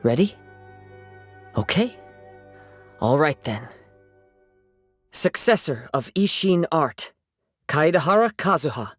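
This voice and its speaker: A man voicing a composed, gentle young wandering samurai in an anime video game, speaking calmly and politely. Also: on the high side, takes it slowly, long pauses, husky, dry recording, clean studio sound, full character voice. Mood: ceremonial. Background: music.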